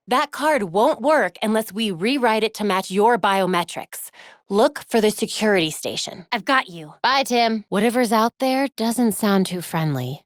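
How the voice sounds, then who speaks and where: Woman acting a young video game heroine, American accent, wry, determined, crisp, conversational, dry studio sound. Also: medium pitch, no echo, close to an everyday voice. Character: young crime-fighting superheroine, dry-witted, determined, brisk. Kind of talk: scripted video game voice lines. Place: recording studio.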